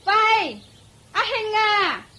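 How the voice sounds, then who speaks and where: An actress playing a bitter, fed-up young wife in a radio drama, complaining with contempt in a Hong Kong Cantonese accent. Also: female voice, very high-pitched, very animated, extremely slow, sharp tone, slight background noise, heavily acted. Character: bitter, fed-up young wife scorning her poor husband.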